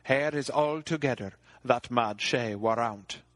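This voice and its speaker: A man's voice: medium pitch, a little slow, fairly bright tone, no echo, lightly acted. A man voicing a troubled medieval king, speaking archaic verse in a grave way.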